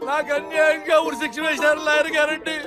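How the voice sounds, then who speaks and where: An actor playing a hapless, pleading client in a comedy film, with a plaintive manner. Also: male voice, very high voice, completely flat delivery, fast talker, pushed and bright, faint music behind.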